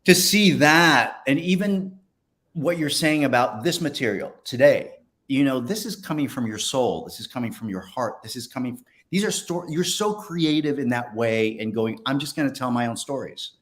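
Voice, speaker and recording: A man with an average-pitched voice hosts a casual, personal interview. He is effusive and encouraging, and he often breaks off and restarts a phrase before landing his point. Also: animated, moderate pace, a bit bright.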